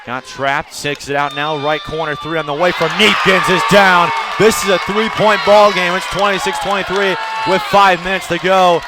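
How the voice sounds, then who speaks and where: Male play-by-play announcer, basketball, raised pitch, punchy urgent phrasing, noisy gym. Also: flat delivery, normal speed, hardly any pauses. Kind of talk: live basketball play-by-play commentary. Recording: crowd roar and loud gym noise. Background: basketball game crowd.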